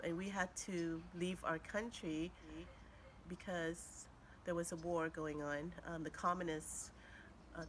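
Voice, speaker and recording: A woman's voice: fairly low pitch, fairly bright tone, a little hiss or hum. Vietnamese-born woman recalling family history. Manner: hesitant, many fillers. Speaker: interviewee.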